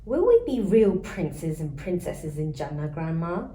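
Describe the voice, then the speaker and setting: A woman voicing a dreamy, excitable little girl in a children's storybook, with a wide-eyed, eager manner. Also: lowish voice, a little slow. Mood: excited. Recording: roomy echo, faint background noise.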